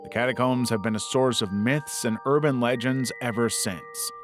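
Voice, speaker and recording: A man narrating a scripted documentary-style piece in a measured, serious way. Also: mid-range voice. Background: background music.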